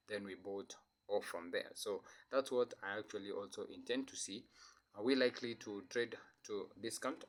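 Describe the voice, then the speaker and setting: A man's voice: on the low side, fairly bright tone. A man with a flu talking through trading charts in a casual, hesitant way, with many fillers and restarts. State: has a flu. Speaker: trading analyst. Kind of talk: casual screen-share chart analysis.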